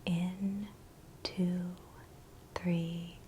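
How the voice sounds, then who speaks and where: A woman whispers a guided meditation in the ASMR style, very slowly and softly. Her breathy voice sits fairly low and stays gentle and soothing. There is faint steady noise behind her. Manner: gentle, soothing, unhurried reassurance.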